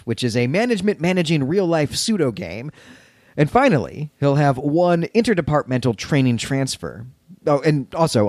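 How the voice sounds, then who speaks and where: A man with an average-pitched voice talks through a story as a podcast co-host. His delivery is conversational and wry, dropping sardonic commentary as he goes. The sound is very dry, with little room in it. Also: a little mellow, dry recording.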